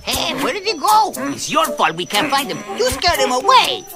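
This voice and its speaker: High pitched little cartoon animal, frantic and wheedling, voice all over the place, music behind. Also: moderate pace, heavily acted. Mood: frustrated. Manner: pleading, excitable, chattering. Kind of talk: cartoon dub acting.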